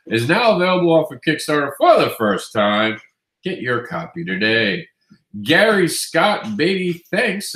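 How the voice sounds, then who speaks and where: A man's voice: mid-range voice, a bit bright. Congested nasal man in an echoey room. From Queens, New York. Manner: chatty, upbeat, self-correcting. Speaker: comics news host. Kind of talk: casual news roundup.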